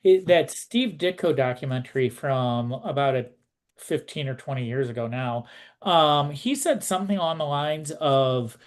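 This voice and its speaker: Male interviewer, slightly high voice. Informal, chatty, feels his way through sentences. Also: a little mellow. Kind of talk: casual chat interview.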